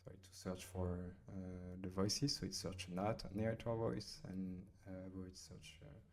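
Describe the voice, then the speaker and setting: A man with a French accent, casually talking through a software tutorial at his computer, pausing often with fillers as he types. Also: low voice, level tone. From France. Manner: hesitant, many fillers while typing. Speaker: software demo host. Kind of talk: casual screen-recorded tutorial.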